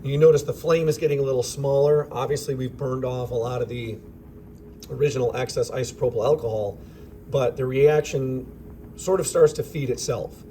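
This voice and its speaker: A man with a slightly raspy voice explains a lab demonstration in an easygoing, thinking-aloud teacher's manner. His pitch sits in a middling range for a man. Faint fan noise hums behind him in a school lab. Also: steady tone, moderate pace, dark tone. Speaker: chemistry teacher. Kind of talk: informal lab demonstration narration. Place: school chemistry lab with vents. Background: ventilation fans running.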